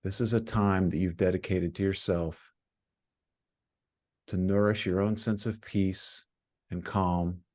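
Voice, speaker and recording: A man with a low, mellow voice leads a guided meditation. He speaks very slowly and calmly, leaving frequent pauses between short phrases. The delivery is soothing and instructional, like a teacher settling listeners into stillness.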